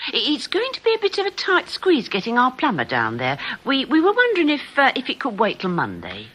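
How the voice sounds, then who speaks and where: A woman's voice: sits high, wildly swooping pitch, brisk, a bit bright, heavily acted. An actress in a radio drama playing an eager, slightly nervous woman accomplice, chatty, polite and hesitant. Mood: bluffing, businesslike.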